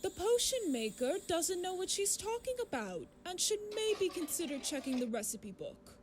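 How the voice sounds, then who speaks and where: A prim storybook narrator played by a woman with a high, very lively voice. She tells a fairytale in a polite sing-song, fussy and controlling, insisting that things go her way. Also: normal speed, noisy background. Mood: insistent, flustered.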